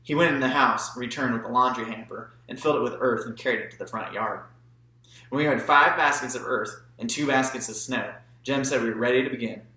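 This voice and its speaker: Man, audiobook narration, scripted literary reading, medium pitch, bright tone, echoey recording. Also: a little fast. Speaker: audiobook reader.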